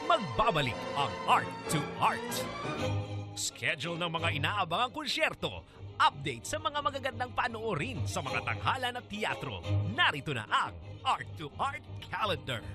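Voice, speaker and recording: A Filipino man announces a scripted radio promo with music behind him. His voice is high for a man and swings animatedly up and down. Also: very animated, moderate pace. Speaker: program announcer.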